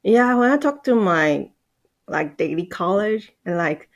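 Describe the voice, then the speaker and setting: A woman, a Mandarin speaker, chatting on a podcast in halting, hesitant English. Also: on the low side, lively intonation. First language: Mandarin Chinese. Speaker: podcast guest, team manager. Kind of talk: casual podcast conversation.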